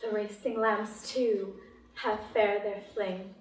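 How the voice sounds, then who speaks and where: A woman reciting poetry in a deliberate way, in short phrases with long pauses between them. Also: medium pitch, little pitch movement. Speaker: poetry reader. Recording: echoey room, distant mic, faint noise.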